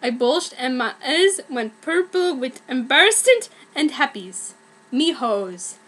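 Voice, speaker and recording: A woman's voice: sits high, very animated, slow pace, a bit bright. A young woman giving a theatrical, mock-earnest comedic reading of amateur fan fiction.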